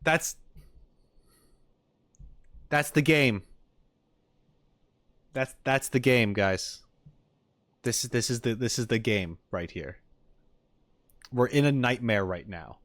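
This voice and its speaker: Slow, wry male streamer. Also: mid-range voice, animated, a bit bright. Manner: halting, repeats phrases, wry. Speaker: streamer reacting to game audio. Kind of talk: casual livestream reaction chat.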